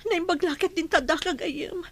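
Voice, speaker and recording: A woman's voice: very high voice, normal speed. A woman acting an anguished mother of a sick child in an Ilocano radio drama, pleading and tearful.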